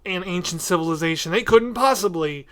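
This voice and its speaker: High-voiced man hosting a casual podcast. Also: lively intonation, brisk, a bit bright. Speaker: podcast host, interviewer. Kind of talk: casual conversational interview.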